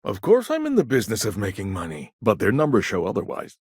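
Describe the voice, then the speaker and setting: A man acting a shrewd, self-assured businessman in a video game, with a wry, smooth-talking manner. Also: lowish voice, huge pitch swings, fast, a little mellow, dry recording, clean studio sound, heavily acted.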